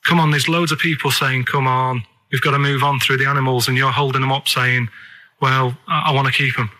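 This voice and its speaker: A man's voice: medium pitch, flat delivery, fairly quick, bright, forceful tone. A man with a Northern English accent rambling comically on the radio in an earnest, naive, persistent way. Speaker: radio show regular making a phone call.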